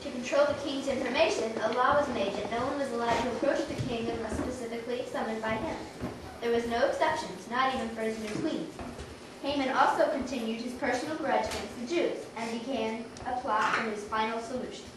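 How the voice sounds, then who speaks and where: A woman with a high voice narrates a children's story from a script. She sounds distant, in a very echoey room with clear background noise. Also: brisk.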